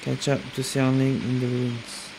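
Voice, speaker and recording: A woman's voice: rumbling low, completely flat delivery, moderate pace, dark tone, a little hiss or hum, poor audio. A woman giving casual, amused gameplay commentary, reacting hesitantly and reading out on-screen text. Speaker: gaming video creator.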